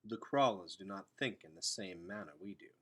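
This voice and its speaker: A man voicing a wise, patient male elder with formal, deliberate speech in a children's storybook. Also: low-pitched, husky, voice pushed down. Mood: measured. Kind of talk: children's novel read-aloud.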